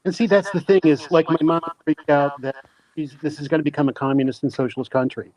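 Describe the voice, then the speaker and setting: A man's voice: on the high side, fairly even intonation, quick pace, darkish tone. A man talking bluntly and opinionatedly, with some swearing, in a casual group voice chat. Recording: dull lo-fi line with faint noise.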